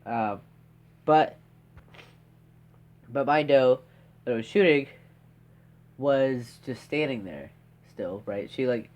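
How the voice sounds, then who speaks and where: A man's voice: medium pitch, takes it slowly, pauses often, darkish tone, dull audio. Stuffy-nosed teen guy telling a hunting story, lots of um and right, kinda meandering. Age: teens. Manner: rambling, with many fillers and tag questions. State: stuffed-up nose. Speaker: podcast host. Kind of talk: casual storytelling.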